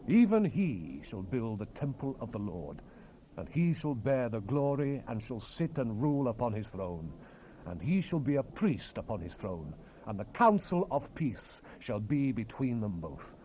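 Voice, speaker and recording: A man's voice: medium pitch, big pitch swings, a little slow, dark tone. A man voicing a mighty, wrathful God, proclaiming commands majestically in a dramatized scripture recording. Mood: majestic, commanding. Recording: hissy 1970s cassette rip.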